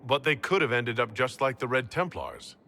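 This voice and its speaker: An actor playing a calm, level-headed leader in a fantasy game, speaking in a measured, questioning way. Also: male voice, medium pitch. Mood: attentive. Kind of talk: video game cutscene acting.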